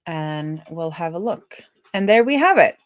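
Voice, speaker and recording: Woman, low voice, close dry sound, informal live coding stream, thinking aloud. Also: lively intonation, unhurried, no echo. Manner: informal, self-talking.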